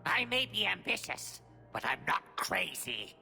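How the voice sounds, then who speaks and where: An actor playing a sly, ambitious alien mercenary gangster in a video game, with a raspy, menacing voice and clipped grammar. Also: male voice, high voice, big pitch swings, unhurried, sharp tone, soft background music, heavily acted. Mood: eager, conniving. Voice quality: gravelly, raspy, breathy at times.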